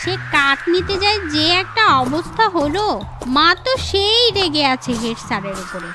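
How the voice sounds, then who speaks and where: An actor voicing an anxious, earnest village schoolboy in an animated story, plaintive in manner. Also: sits high, moderate pace, background noise.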